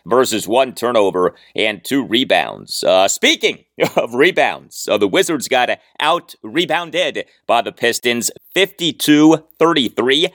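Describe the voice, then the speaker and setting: Male American sports podcaster, lower-pitched, emphatic, swinging intonation, close dry studio sound. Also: sing-song, measured pace, hardly any pauses, a bit bright, dry recording. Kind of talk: scripted sports commentary and ad reads.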